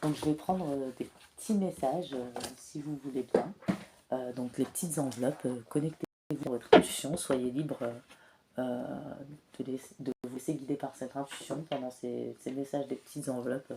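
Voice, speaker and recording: A woman with a cold and a slightly hoarse voice, giving a spiritual card reading in a soft, hesitant way with many filler pauses. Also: a bass voice, steady tone, background noise. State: has a cold, clears throat often. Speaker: tarot and oracle card reader.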